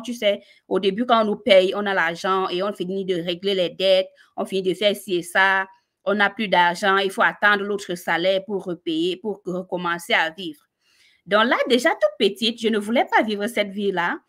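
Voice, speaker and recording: A woman with a Cameroonian French accent telling her personal story on a live stream, animated and talking straight to her listeners. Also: medium pitch.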